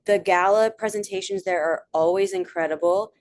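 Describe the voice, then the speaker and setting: A young woman talks through a presentation in a chatty, easygoing way, full of little asides and filler words. Her voice sits at an ordinary middle pitch for a woman. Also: normal speed, a little mellow. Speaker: presenter of a theater talk. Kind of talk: casual online presentation.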